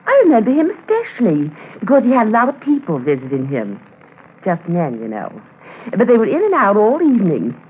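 A woman's voice: medium pitch, wildly swooping pitch, brisk. An actress playing an eager, gossipy, scatterbrained woman witness in a 1950s radio drama, rambling and correcting herself. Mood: eager, scattered. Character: chatty, eager, muddle-headed woman witness running tourist cabins. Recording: tinny, noisy 1950s radio transcription.

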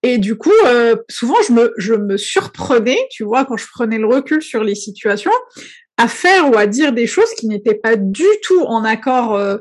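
Brief: woman, young adult, from Algeria, non-native French, high-ish voice, reflective casual talk. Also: animated, moderate pace. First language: not French. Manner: reflective, filler-heavy, often says "tu vois". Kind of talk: casual conversation.

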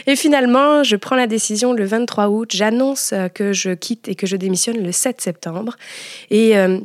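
A woman hosting a podcast, telling her own story to listeners in an expressive, confiding way. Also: fairly high pitch, moderate pace, no echo, clean studio sound. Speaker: podcast host and entrepreneur.

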